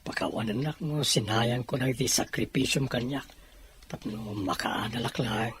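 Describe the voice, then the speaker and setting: A man's voice: mid-range voice, normal speed, fairly bright tone, slight background noise, natural voice. A man playing a remorseful younger brother, a reformed gambler, in an Ilocano audio drama.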